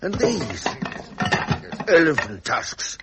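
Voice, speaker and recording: Gruff ageing English king, imperious and blustering, slow delivery, vintage radio drama with clear noise. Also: a little high, wildly swooping pitch, heavily acted. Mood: disgusted, impatient. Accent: upper-class English. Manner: blustering, imperious, muttering in clipped fragments. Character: gruff, impatient ageing royal heir turned king. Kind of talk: 1970s British radio drama acting. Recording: noisy vintage radio recording.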